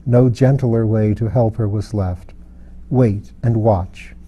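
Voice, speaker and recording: A man voicing a grave, compassionate wise woman in a fantasy audiobook, speaking gently, slowly and with calm patience. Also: lowish voice, dull audio, a little hiss or hum. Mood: solemn, compassionate. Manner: gentle, slow, solemn. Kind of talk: dramatised audiobook reading of a fantasy novel.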